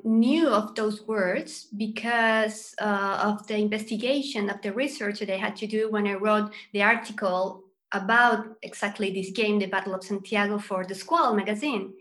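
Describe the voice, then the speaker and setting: A woman from Chile, a guest journalist, chatting casually with fillers and lively turns. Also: medium pitch, moderate pace, hardly any pauses. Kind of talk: casual chat.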